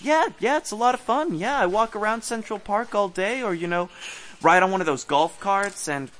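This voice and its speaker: A man's voice: sits high, animated, normal speed, a bit bright. A man playing an earnest, chatty park ranger in his twenties on a date in a romantic comedy audio play, hesitant, rambling and blunt. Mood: chatty, sheepish. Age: 20s.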